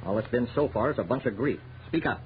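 Man doing harried police chief in old radio play, talks quick, muffled crackly sound. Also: medium pitch, lively intonation, lightly acted. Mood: weary, gruff. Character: harried, gruff police chief under pressure. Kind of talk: 1930s radio acting. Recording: noisy, dull 1930s radio recording.

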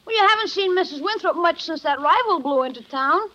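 A very high, sharp boy's voice, cheeky and wisecracking. He teases brashly in 1950s radio sitcom style, on a muffled vintage recording. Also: expressive, brisk, exaggerated voice. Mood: cheeky, teasing. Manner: brash, teasing. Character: cheeky, wisecracking young boy, teasing nephew.

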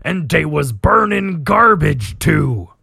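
Bellowing, brutish orc captain. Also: male voice, fairly high pitch, big pitch swings, slow pace, creaky, sharp tone, husky, no room sound, studio quality, voice pushed up, exaggerated voice. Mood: puzzled, suspicious. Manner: bellowing, crude broken grammar. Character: brutish, excitable male orc warband captain. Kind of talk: acted storytelling of fantasy fiction.

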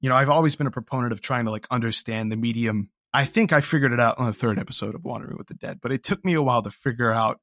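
Guy chatting in an interview, medium pitch, candid and kinda self-deprecating, really dry sound. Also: rapid-fire, hardly any pauses, a bit bright, no echo. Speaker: guest, writer and creator of an audio drama podcast. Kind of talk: casual reflective interview conversation.

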